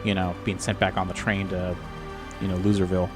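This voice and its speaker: Male game player with a deep voice, casual conversational delivery, music underneath. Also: little pitch movement, brisk.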